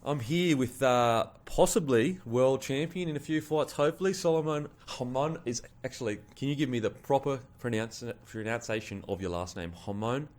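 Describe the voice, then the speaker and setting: A man's voice: medium pitch, normal speed, few pauses, darkish tone. A man with an Australian accent hosting a casual interview, asking loose, friendly questions. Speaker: podcast host.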